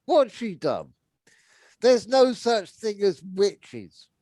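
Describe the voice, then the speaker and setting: A man's voice: high voice, big pitch swings, very slow, mellow, no echo, studio quality, voice pushed up, heavily acted. A man reading the part of an indignant, foul-mouthed mercenary with a conscience in a storybook, blunt and emphatic.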